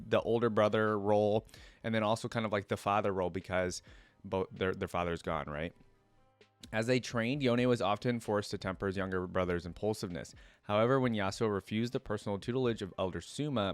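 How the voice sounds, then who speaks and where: Guy with a lowish voice doing a chill reaction vid, reads stuff out and thinks out loud, no room sound. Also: dry recording. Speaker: reaction video creator. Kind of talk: casual reaction commentary mixed with reading a story aloud.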